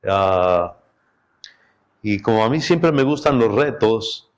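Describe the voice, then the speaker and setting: A middle-aged man with a rather low voice opens his sermon in a relaxed, chatty way. He is a guest pastor whose first language is Spanish, and he jokes easily with the people in front of him. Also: slow pace. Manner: relaxed, chatty and joking with the congregation. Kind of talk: sermon opening. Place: church sanctuary.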